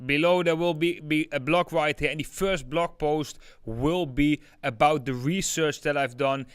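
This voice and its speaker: A man's voice: fairly high pitch, steady tone, a little slow, clean tone, no echo, clean studio sound. Chatty Dutch-accented man on a livestream. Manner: chatty, many fillers like "like" and "yeah". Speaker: crypto livestream host. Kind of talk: casual live chart analysis.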